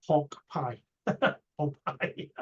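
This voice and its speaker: A man's voice: on the high side, lively intonation, drawn-out words, breathy edge. A man interviewing casually, with hesitant, rambling and repetitive phrasing. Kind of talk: casual chat. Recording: dull lo-fi audio.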